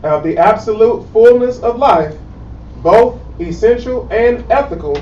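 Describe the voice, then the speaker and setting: A man reading Bible verses aloud in a measured, plain way during a study. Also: high voice, expressive, mellow, a little hiss or hum. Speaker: scripture reader in a Bible study. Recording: far-off echoey room, muffled and lo-fi.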